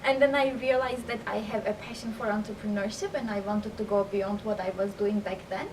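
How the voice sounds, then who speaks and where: A woman from Turkey recounts her path in an earnest, slightly hesitant way. She sits back from the microphone. Steady background noise fills the recording. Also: mid-range voice, a little fast. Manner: earnest, storytelling, some hesitation. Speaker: accelerator program manager. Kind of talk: interview answers. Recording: distant mic, noisy ambience.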